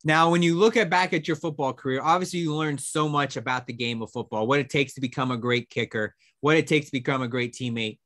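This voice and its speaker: A young man hosting a school program, introducing and interviewing a guest with an enthusiastic, energetic delivery. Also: mid-range voice, quick pace, hardly any pauses. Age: young adult. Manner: enthusiastic, energetic, talking with his hands. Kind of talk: upbeat presenter introduction and interview. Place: small room with a brick wall and memorabilia.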